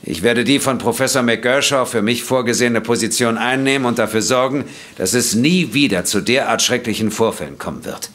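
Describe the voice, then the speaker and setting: Guy playing an earnest doctor in an audio drama, formal and measured, normal pitch. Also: fairly bright tone, close to an everyday voice. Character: wronged, earnest male doctor, dignified and grateful. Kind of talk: German audio drama acting.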